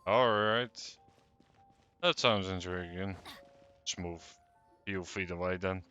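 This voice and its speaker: A man's voice: sits low, slow pace, pushed and bright, smooth. A man casually commenting over game dialogue as a streamer, in a laconic manner. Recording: dull lo-fi mic, faint game music.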